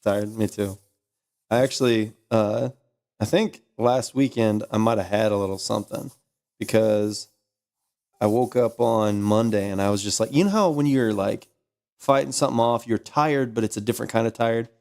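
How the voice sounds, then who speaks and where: A man's voice: fairly low pitch, fairly even intonation, moderate pace, vocal fry, darkish tone. A tired young man with a slightly lost voice, chatting casually on a live art stream and telling a rambling story with lots of "like". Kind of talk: casual chat.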